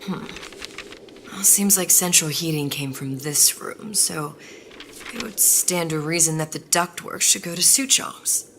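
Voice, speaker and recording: A woman's voice: low-pitched, a little slow, noisy background. An actress playing a haunted, determined young woman in a video game, clever and hesitant as she thinks aloud. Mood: wary, musing. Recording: game audio under loud music.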